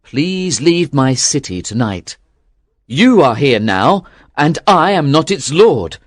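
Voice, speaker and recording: A man's voice: a little high, sing-song, takes it slowly, no room sound, pitched above the performer's own voice, exaggerated voice. A man voicing a frightened male emperor who pleads anxiously, in an audiobook reading. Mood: alarmed.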